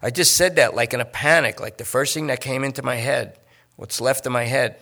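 A man voicing a jittery, talkative male junkie who rambles and pleads in fast bursts. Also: mid-range voice, fairly even intonation, vocal fry, sharp tone. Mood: pleading.

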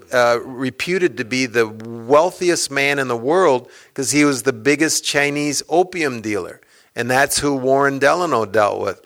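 A man speaks to an audience at a book talk, his voice bright and forceful. He rambles and restarts often, piling on "uh"s between points. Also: medium pitch. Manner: rambling, many fillers and restarts. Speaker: author speaking at a book event. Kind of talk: casual lecture talk with audience Q&A.

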